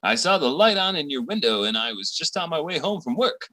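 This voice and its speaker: A man's voice: fairly high pitch, very animated, fairly quick, pushed and bright, dry recording. A man playing a cocky, boastful but cowardly boyfriend, slangy and swaggering, in an old-time radio comedy. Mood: friendly.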